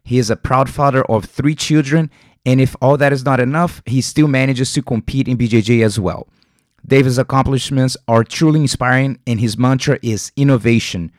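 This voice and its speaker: Male podcast host, mid-pitched voice, friendly questions, dry studio sound. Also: fairly even intonation, moderate pace, no echo. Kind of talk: interview questions and intro.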